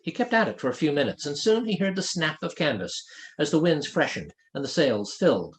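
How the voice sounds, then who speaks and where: A man's voice: on the high side, big pitch swings, a little fast. A man reading his own fantasy novel aloud as scripted audiobook narration.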